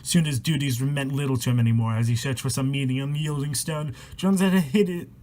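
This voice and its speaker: A man's voice: mid-range voice, quick pace, darkish tone. A man reading a rhyming horror story aloud in a dramatic, irreverent way, stumbling over words and swearing in casual asides. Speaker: amateur storyteller and host.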